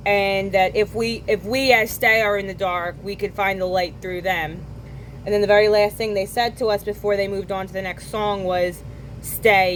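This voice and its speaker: A young woman with a hoarse voice from singing at a concert, hosting a podcast and talking casually with quick asides and self-corrections. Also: medium pitch, slight background noise, poor audio. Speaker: podcast host. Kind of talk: casual chat recounting a concert.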